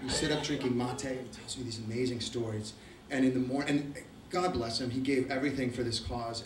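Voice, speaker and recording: A man's voice: mid-range voice, bright, forceful tone, a little hiss or hum. A middle-aged man with an Argentine accent, telling a profane, wry travel story to a live audience. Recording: roomy, distant mic.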